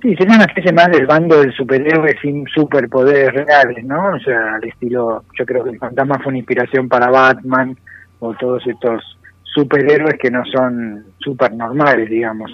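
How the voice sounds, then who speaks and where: A man's voice: mid-range voice, steady tone, moderate pace, dry recording. Man, dull and dry radio-like sound. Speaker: podcast guest. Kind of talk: casual chat.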